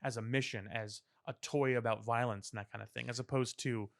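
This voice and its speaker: A man with a middling-pitched voice talks casually as a podcast co-host. He thinks aloud in a discursive, analytical manner, in a very dry studio sound. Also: fairly even intonation, a little fast, vocal fry, no echo. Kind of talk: casual pop culture discussion.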